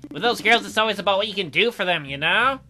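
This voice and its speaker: A man voicing a lonely, socially awkward male cave bear in a visual novel, halting and self-pitying. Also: high-pitched, expressive, sharp tone, slight background noise, pitched above the performer's own voice, full character voice. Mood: self-pitying, indignant.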